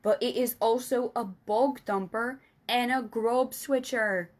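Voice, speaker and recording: Woman voicing an excitable, earnest friendly giant. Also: fairly high pitch, slow. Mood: exasperated, triumphant. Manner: emphatic, folksy mangled grammar. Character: big friendly giant, earnest, excitable, muddled-worded male. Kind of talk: children's storybook character reading.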